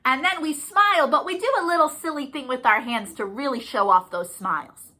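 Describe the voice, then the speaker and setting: Bright, high-voiced woman hosting children's storytime. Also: fairly quick. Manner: expressive, encouraging, simple sing-song address to children. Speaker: children's librarian leading musical storytime. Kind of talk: animated children's storytime, reading, explaining and singing to young children.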